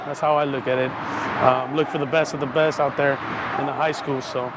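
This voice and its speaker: A man in his twenties from Mexico, a Marine recruiter, talks in a relaxed, plain way in an outdoor interview. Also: mid-range voice, a little fast. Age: 20s. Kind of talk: casual interview. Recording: loud traffic noise outdoors.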